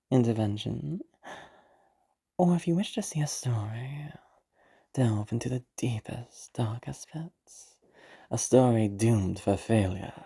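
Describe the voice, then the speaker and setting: A woman voicing an ominous, formal narrator who solemnly introduces dark storybook tales in a hushed, theatrical way. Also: a bass voice, gravelly, slightly rough, pitched below the performer's own voice, heavily acted. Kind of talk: slow scripted storybook introduction.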